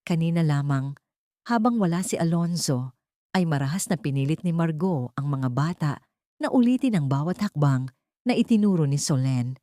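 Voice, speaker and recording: A woman's voice: low voice, animated, normal speed, warm tone, no echo, clean studio sound. A woman with a Filipino accent reading a dramatic scripted audiobook story as narrator.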